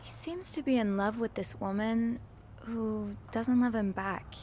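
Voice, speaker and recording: A woman's voice: a little high, fairly even intonation, unhurried, darkish tone, slight background noise, close to an everyday voice. A woman playing a young hotel maid who speaks earnestly and a bit hesitantly, somewhat naive. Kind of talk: video game voice acting.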